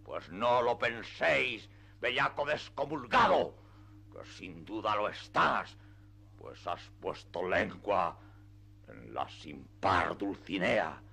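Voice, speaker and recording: An actor playing a grandiose, deluded old knight-errant in a radio drama, with solemn, declamatory, archaic speech. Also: male voice, low voice, sing-song, slow, gravelly, bright, forceful tone, breathy edge, heavily acted. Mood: furious, indignant. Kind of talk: theatrical radio drama acting.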